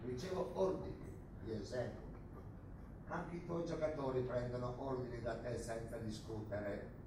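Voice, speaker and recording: A man's voice: medium pitch, moderate pace, natural voice. Man acting a menacing army captain, heavily echoing. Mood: cold menace. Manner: declamatory, pompous, scornful. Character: menacing, boastful Argentine army captain of the dictatorship. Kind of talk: theatrical stage drama acting. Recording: very echoey distant stage recording, noisy.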